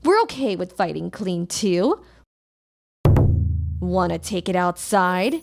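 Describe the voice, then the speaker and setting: A woman's voice: fairly low pitch, expressive, takes it slowly, vocal fry, over music, exaggerated voice. A woman playing a confident, teasing young ex-delinquent who runs a company, self-assured and playful in a comic manga dub. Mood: defiant.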